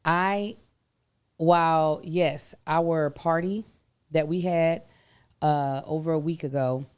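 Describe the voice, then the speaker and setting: Hoarse woman, low dark voice, casual podcast chat, dry sound. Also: steady tone, slow pace, no room sound. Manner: candid, chatty, exasperated. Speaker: podcast co-host.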